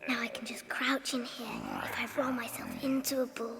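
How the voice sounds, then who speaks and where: A female performer playing a small, plucky, frightened young girl with breathy, anxious delivery in a dramatized audiobook. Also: fairly high pitch, little pitch movement, moderate pace, big-room sound, heavy background noise, lightly acted.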